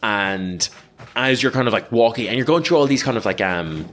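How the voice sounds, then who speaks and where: Male game master, improvised narration, casual, halting, medium pitch. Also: lively intonation, a bit bright, a little hiss or hum. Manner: halting, casual, many likes.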